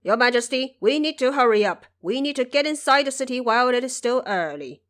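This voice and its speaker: A woman's voice: high-pitched, animated, brisk, fairly bright tone. A woman voicing an impatient male marshal of the underworld in an audio drama, urgent and commanding. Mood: urging.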